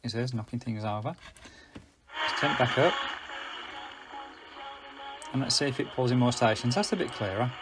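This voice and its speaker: A man's voice: mid-range voice, normal speed, long pauses, loud music behind the voice. A British man giving a casual, unscripted product review as host, chatty and rambling, often correcting himself. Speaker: host reviewing budget radios.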